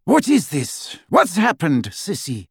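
A shrill, cruel witch with a haughty sneer, put on by a man reading a storybook. Her voice flies up high and swings wildly. The tone is slightly husky, close and dry. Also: huge pitch swings, slow pace, no room sound, exaggerated voice. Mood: cold. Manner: sneering, shrieking.

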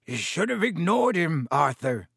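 A man voicing a huge, gruff but kindly man who speaks bluntly and protectively. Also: a little high, big pitch swings, measured pace, fairly bright tone, no echo, clean studio sound, heavily acted. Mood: gruff reassurance.

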